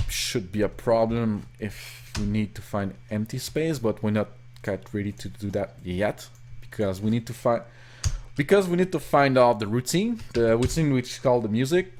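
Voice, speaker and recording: A young man with a Québécois French accent, French his first language, walking through a ROM hack in a rambling, self-correcting screen-recorded tutorial at his computer. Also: medium pitch. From Quebec, Canada. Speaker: ROM hacker. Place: home office.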